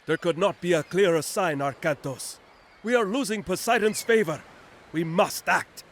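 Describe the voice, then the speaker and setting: Earnest advisor, urging, slightly high voice, game cutscene. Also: male voice, moderate pace, fairly bright tone, slight background noise. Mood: urgent.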